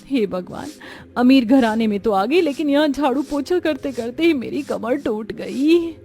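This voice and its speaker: A woman's voice: high voice, animated, a little slow, warm tone, soft background music. A woman voicing an overworked young daughter-in-law in a cartoon moral story, weary and complaining. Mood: weary grumbling. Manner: plaintive, complaining.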